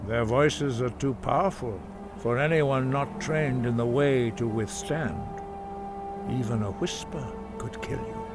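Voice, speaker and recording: An actor playing a solemn old monk master in a video game, teaching in a measured, formal and reverent way. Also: male voice, medium pitch, wildly swooping pitch. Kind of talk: scripted video game dialogue. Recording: game music loud behind. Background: game music.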